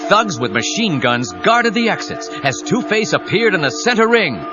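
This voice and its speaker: A man's voice: sits high, very animated, moderate pace. A man narrating an audio drama in an emphatic, suspenseful storytelling style. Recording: dull 1990s cassette audio with music.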